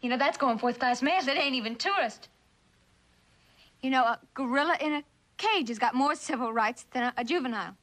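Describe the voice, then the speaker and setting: A woman's voice: high-pitched, brisk, fairly bright tone. Tough, slangy young woman. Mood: defiant, blunt. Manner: slangy, blunt, wisecracking. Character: tough, blunt, streetwise delinquent young woman, defiant. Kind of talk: 1960s television suspense drama acting.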